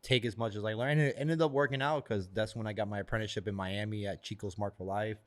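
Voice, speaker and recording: Fast-talking rambling male tattoo artist. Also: lowish voice, fairly even intonation. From Florida, born in Venezuela. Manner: rapid, rambling, heavy on "like" and swearing. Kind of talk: casual storytelling.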